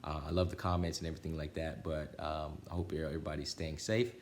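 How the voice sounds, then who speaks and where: A man's voice: very deep voice, little pitch movement, fast, reverberant, slight background noise. A man reading a children's storybook aloud as a storytime narrator, with some casual chat to listeners. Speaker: storytime reader.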